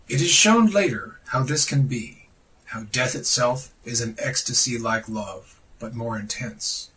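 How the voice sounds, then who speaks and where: Guy reading scripture like an orator, slow and forceful, sounds a bit far from the mic. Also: medium pitch. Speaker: reader of a religious text on a podcast.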